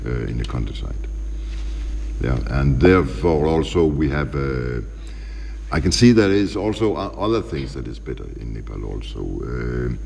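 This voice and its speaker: A middle-aged man from Denmark with a Danish accent, a studio guest chatting in halting English, hesitant, with many fillers and restarts as he searches for words. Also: rumbling low, lively intonation, gravelly, darkish tone, long reverb tail, slight background noise. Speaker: general manager, studio guest. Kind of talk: casual interview chat in halting English.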